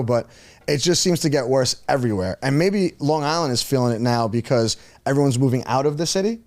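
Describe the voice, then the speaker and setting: A man's voice: medium pitch, darkish tone. A man, a podcast guest ranting emphatically in casual chat.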